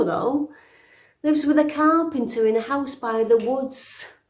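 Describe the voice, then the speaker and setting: In a roomy, echoing space, a woman acts a middle-aged fairytale princess looking back on her life. She talks slowly and confidingly, with dry, understated wit and a weary edge, as in an intimate stage monologue. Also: mid-range voice, a little mellow, lightly acted. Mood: dry, reflective. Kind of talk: dramatic monologue, conversational.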